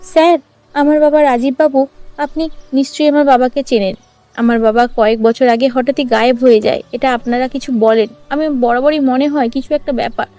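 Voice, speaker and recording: A woman's voice: high voice, fairly even intonation, a little fast, warm tone, dry recording, close to an everyday voice. A woman voicing a determined, loving sixteen-year-old village girl who pleads insistently in a cartoon story. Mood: anxious, insistent. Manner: pleading, insistent, repeats words emotionally. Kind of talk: dramatized cartoon story acting. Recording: music under the voice.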